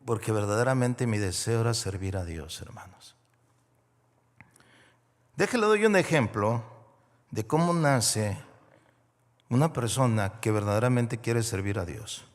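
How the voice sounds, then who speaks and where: A man from Mexico preaching a sermon as a guest pastor, moving between hushed storytelling and loud, rising preaching. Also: fairly low pitch, expressive, measured pace, long pauses. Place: large church auditorium. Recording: long hall reverb.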